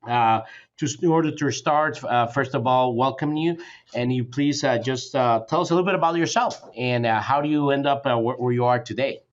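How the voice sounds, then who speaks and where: A man's voice: medium pitch, brisk, lo-fi sound. A man hosting a podcast interview, speaking hesitantly with many fillers and restarts. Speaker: podcast host.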